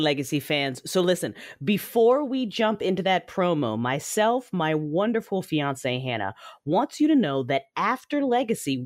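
A woman speaks informally to her listeners in a promo. Her voice is on the low side with very animated intonation, recorded close and dry. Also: no echo. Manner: informal, chatty. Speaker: show creator, announcer. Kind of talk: casual promotional address to listeners.

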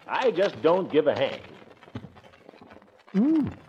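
A man's voice: fairly high pitch, huge pitch swings, slow pace, heavily acted. A man playing a pompous, flirtatious middle-aged bachelor uncle in a blustery, theatrical 1940s radio comedy style. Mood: brash, defiant. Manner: blustery, theatrical, with sudden swoops. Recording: noisy, muffled 1940s radio recording.

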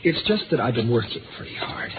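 A man's voice: mid-range voice, big pitch swings, noisy background, lightly acted. Man acting an anxious, harried man, tinny old radio. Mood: nervous. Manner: halting, strained, earnest pleading. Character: anxious, harried man haunted by fear of darkness. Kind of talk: 1950s radio drama acting.

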